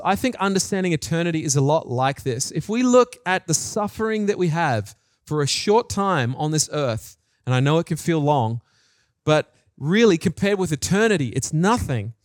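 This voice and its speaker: Higher-voiced Australian man preaching. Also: very animated, moderate pace, no echo, studio quality. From New Zealand and Australia. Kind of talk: sermon with personal stories.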